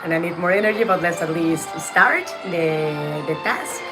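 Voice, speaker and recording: A woman's voice: fairly low pitch, moderate pace. Chatty woman game streamer. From Mexico. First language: Spanish. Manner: chatty, greets viewers by name. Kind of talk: casual live game-stream chat. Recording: game music loud behind.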